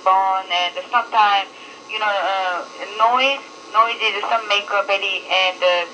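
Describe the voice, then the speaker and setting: A restaurant worker with a non-native English accent, speaking in halting, confused, polite fragments during an unscripted phone conversation. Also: medium pitch, steady tone, pushed and bright. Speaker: restaurant worker taking a customer call. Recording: distant, noisy telephone line.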